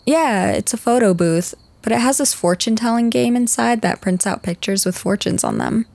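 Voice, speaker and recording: A woman's voice: fairly high pitch, animated, moderate pace, gravelly, darkish tone, no room sound. A woman playing a teasing young goth girl in an ASMR roleplay, talking casually and directly to the listener. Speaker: roleplay voice performer. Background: background music.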